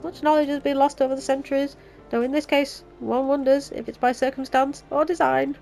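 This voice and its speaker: A woman's voice: sits high, little pitch movement, brisk, creaky, a little mellow, soft background music, pitched above the performer's own voice. A woman with a Yorkshire accent and a blocked, nasal voice, reading the lines of a formal young male scholar from a fantasy game. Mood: earnest.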